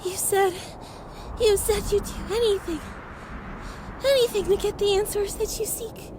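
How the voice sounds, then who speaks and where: A woman's voice: very high voice, steady tone, a little slow, background noise. A woman voicing a defiant, battle-worn girl with magic powers in an anime-style audio drama, dramatic and stubborn, a little breathless. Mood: breathless resolve.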